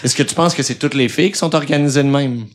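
A man's voice: medium pitch, quick pace. A man with a Québécois French accent co-hosting a podcast, joking and tossing in slangy interjections. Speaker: podcast co-host. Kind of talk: casual interview chat.

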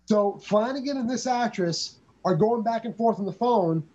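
A man co-hosting a casual movie review, telling behind-the-scenes stories in an animated way. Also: high voice, normal speed, dull audio. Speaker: podcast co-host.

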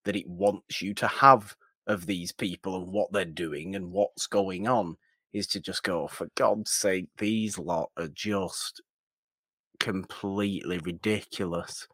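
Casual podcast co-host, male, lowish pitch, wry and emphatic storyteller, dry sound. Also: fairly even intonation, slow pace, a bit bright, no echo. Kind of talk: casual film discussion.

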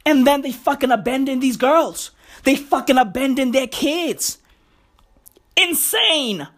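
A young woman who hosts a podcast rants in profane, slang-heavy bursts. Her voice is going hoarse. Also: high voice, animated, measured pace. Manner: profane, slangy, emphatic ranting. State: losing her voice. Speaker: podcast host. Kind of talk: comedic podcast rant.

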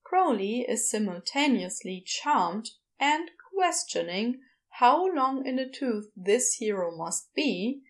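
A woman's voice: on the high side, expressive, slow pace, few pauses. A woman reading scripted fiction narration as the narrator of a podfic story.

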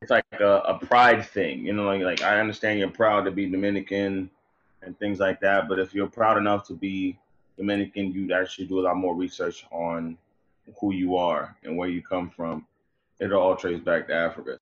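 A male hip-hop artist speaks as an interview guest in a very low, deep voice. He talks in a relaxed, slangy manner. His sound is slightly dull and muffled. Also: moderate pace. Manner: laid-back, conversational, slang-heavy. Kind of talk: casual interview chat.

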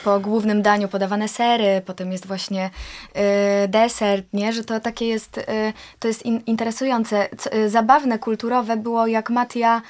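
A young woman from Poland, an interview guest chatting in a lively, easygoing way. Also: on the high side, moderate pace. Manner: chatty and lively, laughs easily. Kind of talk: casual conversation.

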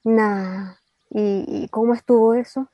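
A woman's voice: a little high, fairly even intonation, takes it slowly, dry recording, dull audio. A woman with a Chilean accent playing an inquisitive, teasing young woman in a radio drama. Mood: casual, curious. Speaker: actor. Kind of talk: radio drama acting, casual dialogue.

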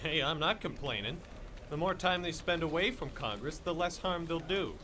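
A man's voice: a little high, animated, moderate pace, sharp tone, slight background noise. An actor playing a weary, sarcastic male war correspondent dragged from his bed. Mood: wry. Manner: sarcastic, exasperated. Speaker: radio broadcaster figure. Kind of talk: audio drama acting.

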